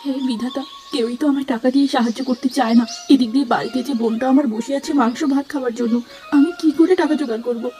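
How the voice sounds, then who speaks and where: A woman voicing a humble, long-suffering poor young woman in a cartoon, gentle and plaintive. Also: high-pitched, quick pace, soft background music, close to an everyday voice. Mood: sorrowful. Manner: plaintive, pleading.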